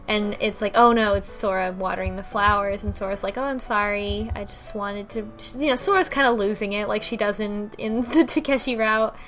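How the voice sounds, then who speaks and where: A woman's voice: mid-range voice, fairly even intonation, a little fast, hardly any pauses, fairly bright tone, faint music behind. A woman podcast host casually recapping a story in a loose, conversational way, as if thinking aloud. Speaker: book club podcast host.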